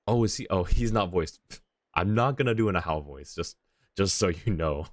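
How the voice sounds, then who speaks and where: A man's voice: sits low, lively intonation, moderate pace, no room sound. A man casually commentating on a game live and chatting with viewers in an easygoing, half-muttering way.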